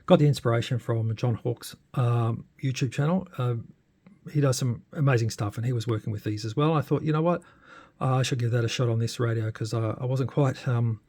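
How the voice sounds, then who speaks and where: A man with a mid-pitched voice talks through a hobby project in a casual, hands-on way. He is unscripted and often hesitant, working out his phrasing aloud. The sound is close and dry, with very little room in it. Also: fairly quick, darkish tone, dry recording. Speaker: hobbyist presenter giving a radio modification demo. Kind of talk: casual hands-on tech update.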